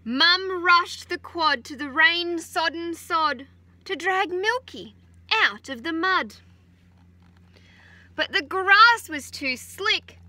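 An Australian woman in her thirties, a teacher gently reading a picture book aloud to children outdoors on a farm, adding chatty asides. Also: very high voice, animated, slow. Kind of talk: children's story time read-aloud. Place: outdoors on a farm beside a utility vehicle. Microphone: phone camera held close.

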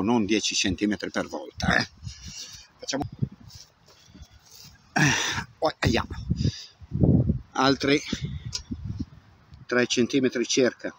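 A man's voice: medium pitch, background noise. Middle-aged man demonstrating casually outdoors. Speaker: amateur radio operator. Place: open grassy field outdoors. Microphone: camera at about arm's length.

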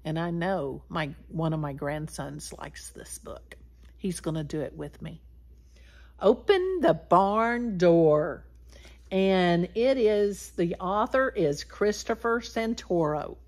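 Woman in her sixties, children's story time host, very slow pace, swooping pitch, animated read-aloud delivery, speaking straight to the kids. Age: 60s. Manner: animated, addressing children directly, drawn-out words and animal sounds. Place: small wood-paneled room indoors.

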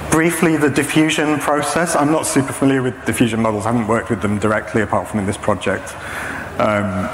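A man, around his thirties, giving an informal technical talk in a hesitant, explanatory manner. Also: medium pitch. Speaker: presenter, saxophonist and machine learning practitioner. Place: indoor stage at a talk venue, before a projection screen. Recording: echoey hall, clearly noisy background.

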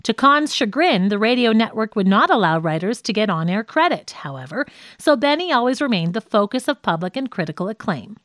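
A woman's voice: a little high, sing-song, fairly quick, hardly any pauses, a bit bright, no echo, studio quality. A woman reading scripted nonfiction narration for an audiobook.

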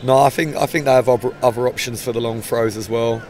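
Measured English football manager, flat voice. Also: male voice, medium pitch, soft background music. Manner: measured, hesitant, many restarts. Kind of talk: post-match interview. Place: outdoors by a football pitch in a stadium. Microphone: handheld mic held up close.

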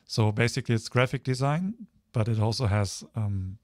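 A man's voice: mid-range voice, normal speed, darkish tone, dry recording. Man in his thirties, dry close sound. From Germany. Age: 30s. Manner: hesitant, searching for words. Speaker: guest speaker, photographer. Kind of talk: informal online slide presentation.

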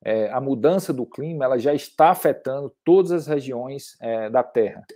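Male, middle-aged, Brazilian accent. Mellow mid-pitched voice, halting academic lecturer delivery with repeated words. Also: animated. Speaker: climate researcher, guest lecturer. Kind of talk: slide lecture at an online academic workshop.

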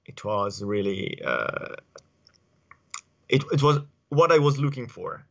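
A man in his forties with an Italian accent, answering interview questions at home in a halting way with many fillers and restarts, speaking on a video call through an earphone mic. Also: fairly low pitch, animated, vocal fry, fairly bright tone. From Italy. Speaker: guest. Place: home study with bookshelves. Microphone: earphone headset mic on video call. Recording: dull lo-fi video call audio.

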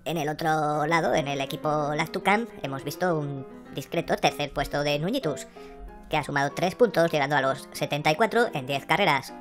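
A man voicing a hoarse, flustered female assistant, who rambles and then reads out rankings. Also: fairly high pitch, a little fast, a bit bright, faint music behind, voice pushed up, natural voice. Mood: reporting. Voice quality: hoarse, croaky.

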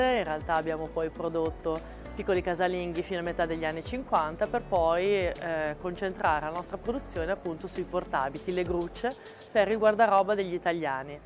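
A woman's voice: lowish voice, normal speed. A woman in her forties, a marketing and sales director, speaking in a composed, articulate way at a trade fair stand, with occasional fillers. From Italy. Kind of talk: company interview at a trade fair. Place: exhibition stand at a large indoor trade fair. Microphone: lapel mic. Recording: music bed under the voice.

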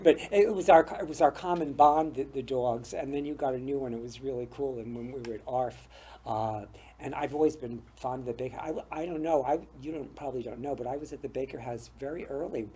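A man's voice: medium pitch, fairly quick, warm tone, slight background noise. An older man hosting a studio interview show, chatty and easygoing, laughing often and tripping over his words. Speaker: talk show host. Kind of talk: casual interview chat. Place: small TV studio set with bookshelves.

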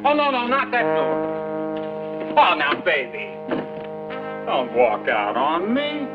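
A blustering, smooth-talking middle-aged record promoter who pleads and brags in wheedling patter. His voice sits high and leaps all over the place. It comes from a dull 1960s film soundtrack. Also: big pitch swings, takes it slowly, over loud music. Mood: pleading. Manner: wheedling, showy salesman patter.

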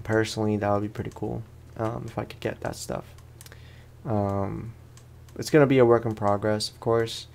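A man with a low-pitched, creaky voice hosts a casual let's play. He rambles in loose slang and pokes fun at himself as he goes, talking through the game like he would to a friend. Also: a little mellow, a little hiss or hum. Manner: rambling, slangy, self-deprecating. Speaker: gaming host.

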